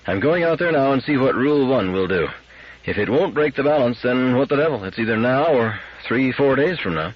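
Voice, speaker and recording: A man voicing an exasperated, urgent male space engineer in an audiobook, with a husky, emphatic delivery. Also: medium pitch, animated, fairly quick, dull audio, a little hiss or hum, pitched above the performer's own voice, close to an everyday voice. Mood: earnest, desperate. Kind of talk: audiobook character dialogue.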